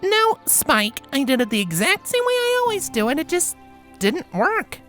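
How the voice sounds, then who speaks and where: A man's voice: very high voice, wildly swooping pitch, normal speed, fairly bright tone, soft background music, pitched above the performer's own voice, heavily acted. A man voicing an earnest young female alicorn sorceress, precise and slightly indignant, in a storybook reading. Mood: indignant, puzzled. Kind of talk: character voice in fan fiction reading.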